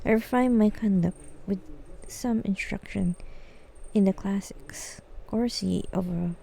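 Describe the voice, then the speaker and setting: A woman's voice: mid-range voice, unhurried, vocal fry, mellow, slight background noise, rough recording. A woman streaming a game live and reading its dialogue aloud.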